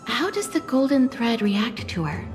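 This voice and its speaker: A woman's voice: a little high, sing-song, a little slow. A woman playing a composed, polite and resolute young heroine in a video game. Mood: thoughtful, resolute. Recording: reverberant, light music behind.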